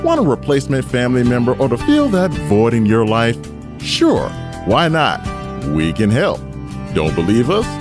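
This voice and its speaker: A man's voice: medium pitch, huge pitch swings, moderate pace. Man doing a swooping, slick radio ad salesman. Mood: salesy. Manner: salesy, exaggerated. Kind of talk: parody radio commercial pitch. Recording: voice over loud music.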